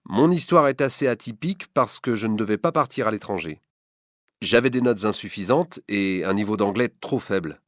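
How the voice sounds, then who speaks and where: A man's voice: fairly low pitch, normal speed, dry recording, clean studio sound. A man in his twenties from Brittany, France, giving a prepared personal account as a student interviewee. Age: 20s.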